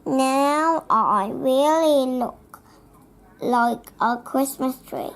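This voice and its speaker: A young child reads a storybook aloud in a high voice. The pace is very slow, with halting phrases that sometimes get repeated as the words are worked out. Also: vocal fry. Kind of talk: read-aloud of a children's picture book.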